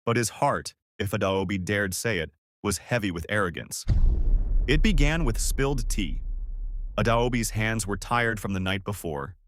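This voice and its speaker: A man's voice: lowish voice, lively intonation, moderate pace, fairly bright tone, a little hiss or hum. A man narrating a scripted dramatic story as a storyteller.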